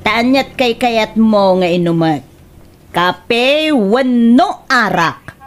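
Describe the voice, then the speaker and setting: A woman playing a fussy, bickering wife in an Ilocano comedy radio drama, with drawn-out complaints and theatrical sighs. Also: a little high, expressive. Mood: irritated. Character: fussy, sleepless, coffee-loving wife, bickering and scheming.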